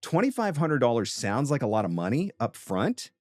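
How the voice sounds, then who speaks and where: A man's voice: mid-range voice, sing-song, moderate pace, darkish tone, no echo. A man hosting an interview as a voiceover coach, giving conversational advice in an emphatic, explanatory and encouraging way.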